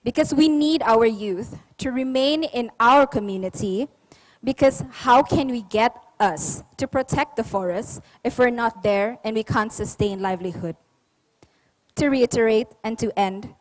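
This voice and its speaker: A young adult woman delivering a measured, formal prepared speech to a forum. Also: on the high side, a little hiss or hum, rough recording. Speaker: youth panel speaker.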